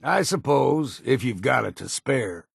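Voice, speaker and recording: An actor playing a gruff, weary old hunter in a video game, grumbling and wary in a folksy, plainspoken rustic drawl. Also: male voice, mid-range voice, big pitch swings, a little slow, no room sound, studio quality, heavily acted. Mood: matter-of-fact. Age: older. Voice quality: gravelly, husky. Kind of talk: video game character voice lines.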